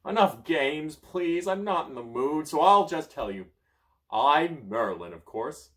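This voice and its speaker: A man's voice: on the high side, lively intonation, measured pace, fairly bright tone, pitched above the performer's own voice. A man voicing a grouchy, boastful old male wizard stuck in a bush, reading a storybook aloud. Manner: pompous, cranky, sneezy.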